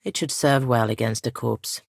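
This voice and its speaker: Female spirit guardian, fantasy game, ancient, solemn, soft, very gravelly, breathy, formal, measured. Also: very deep voice, no echo, studio quality, full character voice. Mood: grave, foreboding. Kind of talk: scripted fantasy game dialogue.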